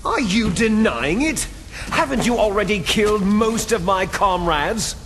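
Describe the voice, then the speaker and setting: An actor playing a bitter, gloating villainous clone soldier in a video game, with a menacing, taunting, theatrical delivery. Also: male voice, sits high, lively intonation, unhurried, music in the background. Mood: taunting, accusing. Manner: menacing, taunting, grandiose monologuing. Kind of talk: dramatic video game cutscene acting.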